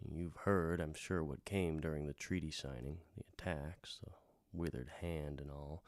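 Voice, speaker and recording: A man playing a weary, gentle, wry wandering bard who tells tales in an unhurried, musing way with trailing pauses, in the style of a radio drama. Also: low-pitched, dry recording, studio quality. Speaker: host and bard of a radio hour. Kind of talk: in-character radio drama storytelling.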